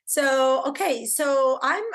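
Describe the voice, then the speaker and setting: A middle-aged woman from Argentina with an Argentine Spanish accent, chatting as a podcast guest in an excitable, talkative way with many fillers. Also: high voice, takes it slowly, creaky. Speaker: podcast guest, branding coach. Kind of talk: casual interview chat.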